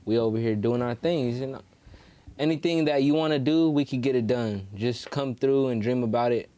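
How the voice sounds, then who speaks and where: A man's voice: mid-range voice, normal speed, warm tone, no echo. A man chatting casually as a podcast guest, with slangy, streetwise, swear-heavy phrasing. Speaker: guest rapper and promoter.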